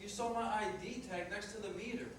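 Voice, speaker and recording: Man playing a high-voiced skeptical cabbie, very echoey. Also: fairly quick, natural voice. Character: skeptical, easygoing male cab driver. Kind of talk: live staged play reading. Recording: noisy, very echoey hall, distant mic.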